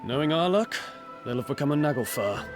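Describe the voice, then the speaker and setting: A man's voice: a little high, expressive, moderate pace, over music, lightly acted. A man playing a wary, wry warrior companion in a fantasy video game, plain-spoken and casual.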